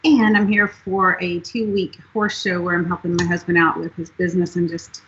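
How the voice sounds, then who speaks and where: A woman's voice: on the low side, little pitch movement, normal speed, a bit bright, a little hiss or hum. A woman from Texas hosting a casual talk show over a video call, bubbly and gushing, laughing often. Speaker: host. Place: living room, indoors. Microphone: webcam on video call. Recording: dull lo-fi video call audio.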